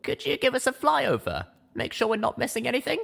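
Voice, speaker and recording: Man voicing a high, earnest young mare heroine. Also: wildly swooping pitch, a little fast, gravelly, fairly bright tone, husky, exaggerated voice. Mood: earnest, urgent.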